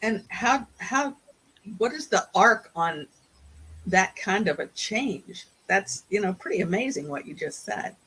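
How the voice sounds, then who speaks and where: Woman, moderator, bright sharp tone, conversational, questioning. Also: medium pitch, animated, measured pace, slightly rough, low-quality recording. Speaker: panel moderator.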